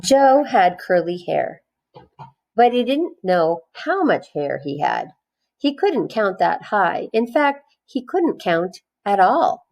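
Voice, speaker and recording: Slow, lively woman reading a children's story. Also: mid-range voice. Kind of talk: expressive children's storybook read-aloud.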